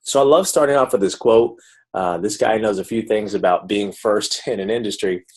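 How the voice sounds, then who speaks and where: A man presenting a network marketing pitch on an online webinar, speaking informally and chattily to his audience in a salesy way. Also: moderate pace, a little mellow, husky. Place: live video call.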